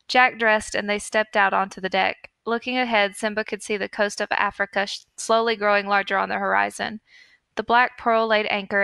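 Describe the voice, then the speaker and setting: A woman's voice: medium pitch, gravelly, sharp tone, smooth, no room sound. A woman podcast host reading fan fiction aloud in a mock-earnest storytelling manner.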